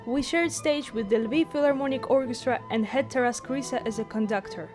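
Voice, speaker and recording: A woman with a high-pitched voice hosts a musical tour vlog. She moves between scripted narration and casual interview questions, with music clearly playing behind her. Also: a little fast. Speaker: vlogger, orchestra musician and interviewer.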